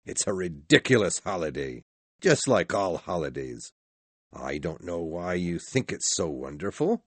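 A man's voice: deep voice, big pitch swings, a little slow, no echo, heavily acted. A man voicing a grumpy, miserly old businessman in a storybook, curt, scornful and irritable. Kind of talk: storybook character voice.

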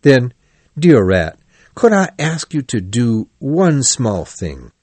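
A man's voice: medium pitch, sing-song, slow pace, darkish tone, no room sound. A man voicing a melodramatic, self-pitying male toad in an animal storybook, in a weak, plaintive, martyr-like way. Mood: plaintive, feigning illness. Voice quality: weak, faint.

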